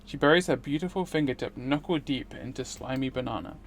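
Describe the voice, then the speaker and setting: Male streamer, medium pitch, dramatic read-aloud narration of a visual novel. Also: brisk.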